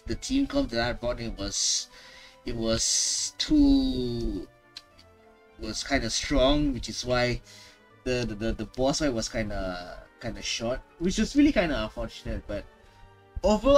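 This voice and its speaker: A man's voice: mid-range voice, low-quality recording. A man giving casual gaming reaction commentary, emphatic and often repeating his words and phrases. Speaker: gaming streamer.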